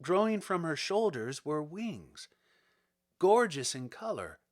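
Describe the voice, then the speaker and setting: Very animated, unhurried male storyteller. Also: fairly high pitch. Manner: expressive, measured. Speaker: audiobook narrator. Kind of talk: scripted storybook narration.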